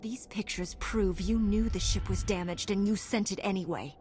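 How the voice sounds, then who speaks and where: An actress playing a noble, resolute knight in a video game, speaking formally and solemnly. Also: female voice, medium pitch, steady tone, moderate pace, darkish tone, soft background music, natural voice. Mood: stern, resolute.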